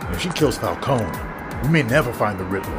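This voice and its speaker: A man's voice: on the low side, lively intonation, quick pace, close to an everyday voice. A man playing a serious, worried police detective in an audio drama, urgent and questioning. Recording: very loud music under the voice.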